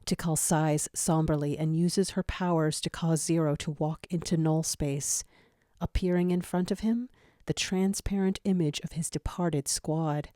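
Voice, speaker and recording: A woman's voice: deep voice, fairly even intonation, measured pace, mellow, dry recording, clean studio sound. A woman narrating scripted fan fiction in a dramatic storytelling style.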